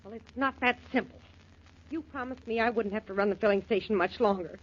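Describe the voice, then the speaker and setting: An actress with an American accent playing an insistent, hard-working jilted fiancée in a 1940s radio melodrama. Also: female voice, medium pitch, brisk, natural voice. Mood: hurt, demanding. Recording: muffled old radio recording with hiss.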